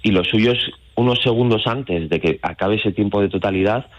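A man's voice: lowish voice, moderate pace. A man from Madrid with a central Spanish accent explains things step by step as a guest in an informal radio interview, speaking over the phone. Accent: central Spain. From Madrid, Spain. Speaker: astrotourism guide, phone guest. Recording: dull lo-fi phone call.

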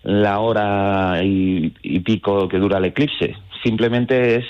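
A man with a central Spanish accent is interviewed by phone as a guide. His voice sits fairly low, and the line is dull and lo-fi. He explains things step by step in an informal way. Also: steady tone, slow, clean tone. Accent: central Spain. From Madrid, Spain. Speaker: astrotourism guide, phone guest.

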